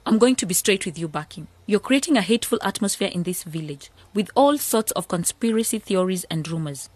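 A sharp young village woman who speaks bluntly and sardonically, as an actress plays her in a radio drama. Her voice is mid-pitched. The studio sound is dry. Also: expressive, a little fast, no room sound, close to an everyday voice.

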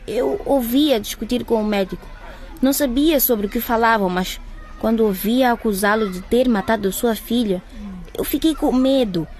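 A woman's voice: fairly high pitch, moderate pace, a little mellow, lightly acted. A woman playing a frightened, confused young woman in a radio drama, hesitant, polite and apologetic. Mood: unsure, shaken.

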